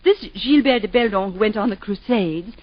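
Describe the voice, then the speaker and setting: An actress playing a composed, witty wife and mother who speaks in a gently teasing, lightly ironic way in 1940s radio acting. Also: medium pitch, moderate pace, lightly acted. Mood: sly. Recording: dull 1940s radio broadcast.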